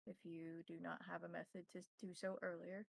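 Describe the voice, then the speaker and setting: A woman playing a courteous, cryptic vampire priest and advisor, speaking formally in measured, halting phrases in a tabletop roleplaying game. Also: lowish voice, level tone, creaky, no room sound.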